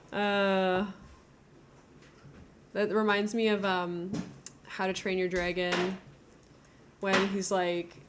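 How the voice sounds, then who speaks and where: A woman's voice: medium pitch, flat delivery, very slow, long pauses, slight background noise. A woman game streamer chatting casually and thinking aloud as she builds, with a giggly, informal manner.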